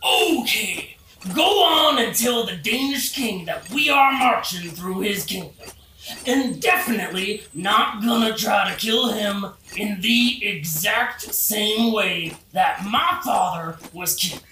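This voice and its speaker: A woman playing a sly, commanding army leader in a satirical audio play, measured and deadpan. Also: mid-range voice. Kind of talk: modern-language satirical audio play acting. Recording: echoey, distant mic, hiss and clicks.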